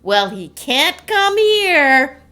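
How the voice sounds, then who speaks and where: A woman's voice: high voice, sing-song, extremely slow, sharp tone. A middle-aged woman, chatting casually with her partner as a podcast co-host. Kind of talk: casual chat between a couple. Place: at home in self-isolation.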